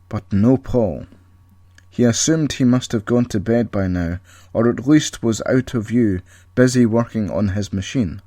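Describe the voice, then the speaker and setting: Male storyteller, mid pitch, scripted narration with wry humour, very dry recording. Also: mellow, no room sound. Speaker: author and narrator.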